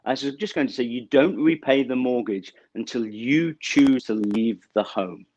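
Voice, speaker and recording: A middle-aged man from the UK with a British accent, explaining banking products as an interview guest over a video call, measured and full of small fillers. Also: medium pitch, darkish tone, no room sound, highs cut off. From United Kingdom. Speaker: bank representative guest. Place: office with banner backdrop, indoors.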